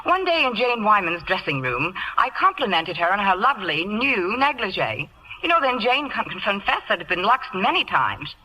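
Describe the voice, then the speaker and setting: A woman's voice: medium pitch, big pitch swings, normal speed, sharp tone, poor audio. A woman from England appearing as an actress guest in a scripted 1950s radio interview and endorsement.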